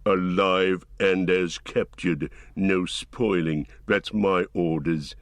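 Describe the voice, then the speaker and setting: A man voicing a huge, brutal orc captain with a deep, growling voice and slow, blunt commands in an audiobook. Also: steady tone, dry recording. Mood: commanding. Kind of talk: audiobook character voice.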